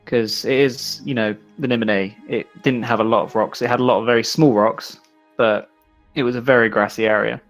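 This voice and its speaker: A man's voice: medium pitch, steady tone, brisk. A man with an Irish accent chatting casually on a livestream about his design work. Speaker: landscape designer on a game modding team. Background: faint music.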